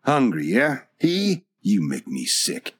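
A man's voice: medium pitch, wildly swooping pitch, takes it slowly, exaggerated voice. A suspicious, hot-tempered prospector who sneers and snaps in a nasty tone, read by a male narrator. The voice stays close to the narrator's own mid-range. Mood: hostile.

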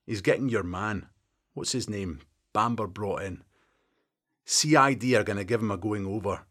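A man voicing a wry, teasing Scottish detective past forty with a deadpan humour. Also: lowish voice, normal speed.

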